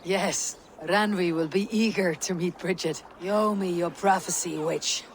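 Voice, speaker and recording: With faint ambience behind, a hardened Viking warrior woman speaks slowly and tersely. She is wry, loyal and understated, as in a dramatic game cutscene. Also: fairly low pitch, fairly even intonation, slight background noise. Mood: warm, firm.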